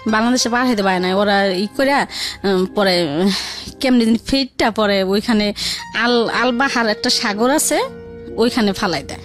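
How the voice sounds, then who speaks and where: A woman's voice: medium pitch, a little slow, long pauses. A woman with a colloquial Dhaka-region Bengali accent telling her life story in a rambling, repetitive way as an interview guest. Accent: colloquial Bangladeshi Bengali, Dhaka region. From Bangladesh. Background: faint background music.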